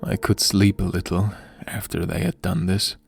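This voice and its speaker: A man's voice: sits low, little pitch movement, moderate pace, gravelly. A man reading a horror story as a dramatic narrator, grave and theatrical, in the manner of an audiobook. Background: background music.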